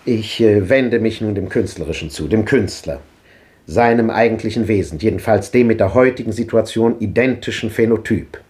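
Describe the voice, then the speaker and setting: An actor playing an old man who delivers a measured, lecturing philosophical monologue in a 1950s radio drama. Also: on the low side, darkish tone, close to an everyday voice. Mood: musing.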